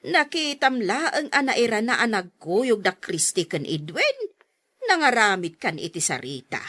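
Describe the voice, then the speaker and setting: A woman's voice: mid-range voice, sing-song, measured pace, bright, forceful tone, no echo, heavily acted. A woman acting a reproving mother who admonishes her daughter in a radio drama.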